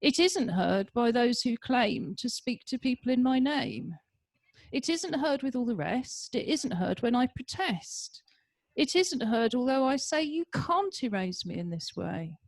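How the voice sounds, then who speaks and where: A woman's voice: a little high, sing-song, unhurried, dry recording. A woman introducing and then reading her rhyming poem over a video call, chatty then rhythmic. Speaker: poet reading.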